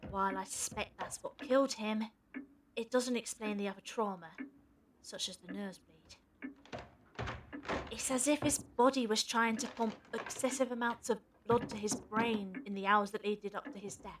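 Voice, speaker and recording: A woman playing a coolly rational, sharp-tongued scientist with a precise, pedantic manner in an audio drama. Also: mid-range voice, fairly even intonation, normal speed, background noise, natural voice. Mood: clinical.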